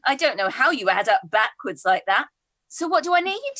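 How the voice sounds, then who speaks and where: A cheery woman at the pub, played by an actress in a radio comedy. Her voice is high, bright and very animated, with a playful, competitive streak and a chatty manner. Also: normal speed, heavily acted. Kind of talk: radio comedy play reading.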